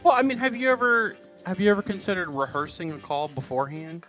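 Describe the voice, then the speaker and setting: A man co-hosting a comedy show, giving deadpan, mock-serious commentary in a preachy, lecturing way. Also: high-pitched, fast, faint music behind. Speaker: co-host.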